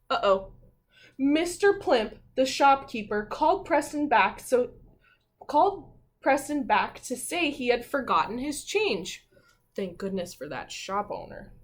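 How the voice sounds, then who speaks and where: A woman teacher reading a picture book aloud to children, expressively, with dramatic pauses and her own thoughts along the way. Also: fairly high pitch. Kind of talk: children's read-aloud with commentary.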